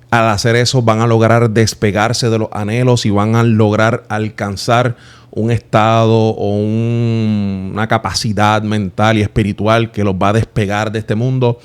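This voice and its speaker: A man's voice: lowish voice, clear, resonant tone. A man from Puerto Rico in his thirties with a Puerto Rican accent, hosting his own channel and earnestly explaining his reasons in a personal monologue that speaks straight to the viewer. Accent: Puerto Rican Spanish.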